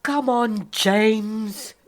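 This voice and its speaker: A woman's voice: on the high side, drawn-out words. An actress playing an ageing, down-and-out South African woman, feisty and lonely, rambling and muttering to herself in a radio play. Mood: cold, forlorn. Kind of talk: dramatic monologue in a radio play.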